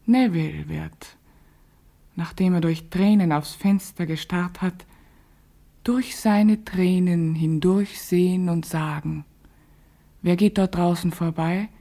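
A woman playing a grieving, dreamy woman in a literary radio play, speaking a solemn, lyrical monologue in a breathy, hushed voice. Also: on the low side, steady tone. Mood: bitter, musing. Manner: solemn, measured, lyrical delivery. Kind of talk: poetic interior monologue, German radio drama.

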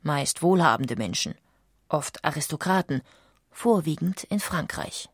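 A woman reading scripted documentary narration in a measured, articulate way. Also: low voice, lively intonation, breathy edge, no room sound, studio quality.

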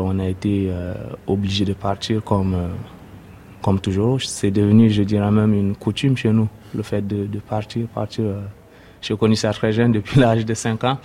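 Hesitant Sudanese-born man, low voice. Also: dark tone, a little hiss or hum. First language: Arabic. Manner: hesitant, many pauses and fillers. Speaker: interviewee, refugee. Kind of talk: personal testimony.

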